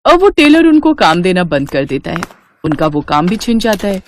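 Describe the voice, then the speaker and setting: A woman's voice: on the low side, sing-song, normal speed, no room sound. A woman narrating a scripted moral story as a storyteller.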